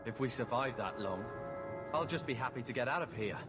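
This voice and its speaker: An actor playing a sullen, hot-headed young fighter in a fantasy game. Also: male voice, medium pitch, expressive, brisk, close to an everyday voice. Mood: irritated, urgent. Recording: music behind the voice.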